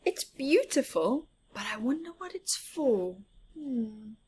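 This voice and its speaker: Woman storytime host, very slow delivery, animated pitch, expressive read-aloud, chatty asides for young children. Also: breathy edge.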